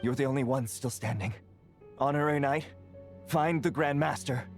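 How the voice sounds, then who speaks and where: An actor playing a brash, wry, loyal knight in a video game. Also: male voice, medium pitch, normal speed, faint music behind, lightly acted. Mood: urgent.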